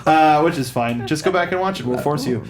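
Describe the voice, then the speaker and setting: A man hosting a film review panel in a casual, somewhat halting way, putting questions to the others, recorded with roomy echo and rumble. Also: crowd noise. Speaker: host of a film review show.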